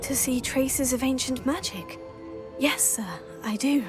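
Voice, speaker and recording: High-voiced, earnest young witch. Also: fairly even intonation, measured pace, over music. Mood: polite, earnest. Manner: polite, earnest. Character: teenage witch student, polite, earnest, eager. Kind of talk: cinematic fantasy game acting.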